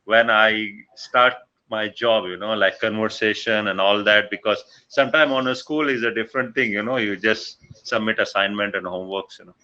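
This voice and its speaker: Pakistani man, Punjabi first language, hesitant casual talker, bright voice over dull audio. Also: on the low side, moderate pace, hardly any pauses. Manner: hesitant, many fillers. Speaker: livestream chat participant. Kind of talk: casual chat.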